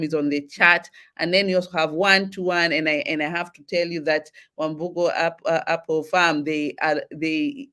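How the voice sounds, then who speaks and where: A woman's voice: lowish voice, fairly bright tone. A woman hosting an online talk show, encouraging her audience and often restarting her sentences.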